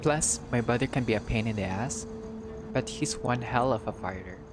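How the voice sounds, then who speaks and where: A man's voice: mid-range voice, normal speed, over music, lightly acted. A man voicing a blunt, determined, vengeful vampire woman in a visual novel game. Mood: firm, urging.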